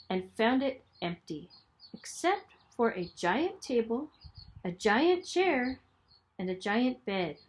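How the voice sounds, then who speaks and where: A woman reading a children's story aloud in a dramatic, expressive storytelling manner. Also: mid-range voice. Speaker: storybook reader, school superintendent.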